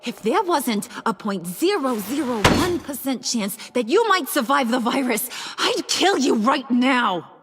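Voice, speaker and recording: An actress playing a cocky, teasing clownish villainess in a video game, sassy and mock-sweet. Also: female voice, sits high, big pitch swings, unhurried, hardly any pauses, a little hiss or hum. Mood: threatening. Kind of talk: dramatic video game acting. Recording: dramatic music under the voice.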